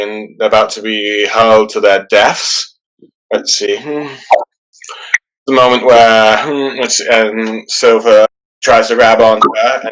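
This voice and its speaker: A man hosting a podcast, talking through a story in a halting way and often pausing on "let's see". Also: on the low side, a bit bright, rough recording. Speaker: podcast host. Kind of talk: casual podcast discussion.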